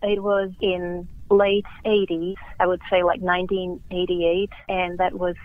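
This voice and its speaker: A middle-aged woman from Slovakia speaks with a Slovak accent, recounting her past in a measured, thoughtful manner. Her voice sits a little low. She comes through a thin, tinny telephone line. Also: little pitch movement. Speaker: guest musician. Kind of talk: phone interview.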